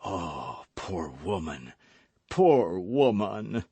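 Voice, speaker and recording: A man reading aloud voices a grave, brooding nobleman who speaks in low, murmuring tones. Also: animated, measured pace, fairly bright tone, husky, no room sound, exaggerated voice.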